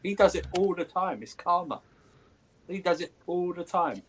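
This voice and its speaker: High-voiced man chatting, faint hiss behind. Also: expressive, normal speed. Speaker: panelist. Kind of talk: casual chat.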